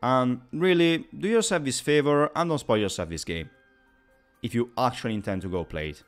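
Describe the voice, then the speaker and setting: Man reviewing, very lively pitch over music. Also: normal speed. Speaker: video game reviewer. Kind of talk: scripted video essay commentary.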